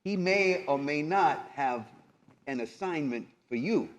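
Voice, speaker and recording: A man's voice: fairly high pitch, lively intonation. A man playing an evasive, hedging go-between who runs errands for a vampire prince in a live roleplaying game. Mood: cagey. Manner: hedging, sly, halting with many trailing qualifiers. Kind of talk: improvised tabletop roleplay before a live audience.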